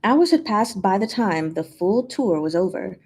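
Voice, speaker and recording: Woman, story narrator, mellow relaxed tone, lively varied pitch, expressive reading. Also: a little slow, husky. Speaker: fanfiction reader, channel host.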